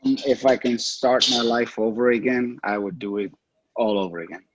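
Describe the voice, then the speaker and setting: A man answering a panel question reflectively, halting with frequent ums and restarts. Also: medium pitch, fairly even intonation, highs cut off, background noise. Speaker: panel guest, record executive.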